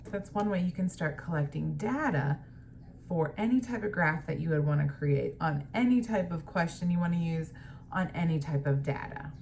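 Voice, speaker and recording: A woman teacher doing a picture-book read-aloud, pausing to explain things to young pupils. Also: sits low, lively intonation, gravelly, darkish tone, slight background noise.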